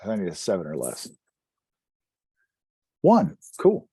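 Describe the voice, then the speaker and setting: Male tabletop player, mellow and lowish, animated intonation, dry sound, casual table talk. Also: slow pace, breathy edge, dry recording. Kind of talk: casual game-table chat.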